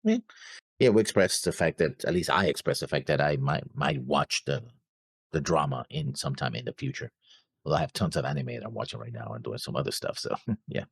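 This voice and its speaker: Low, gravelly man with a halting delivery. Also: dry recording, clean studio sound. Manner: hesitant, halting, often repeats words. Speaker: co-host of anime reaction show. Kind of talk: casual discussion, reading viewer comments.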